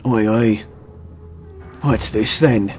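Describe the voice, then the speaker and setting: A man playing a rough, colloquial soldier in a fantasy audio drama. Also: on the low side, very slow. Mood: wary puzzlement. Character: rough, unhurried male soldier escorting travelers. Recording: loud music bed underneath.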